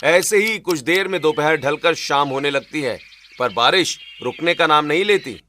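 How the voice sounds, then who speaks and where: A man narrating a scripted animated family story as a storyteller. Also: on the high side, normal speed. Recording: loud rain ambience behind voice.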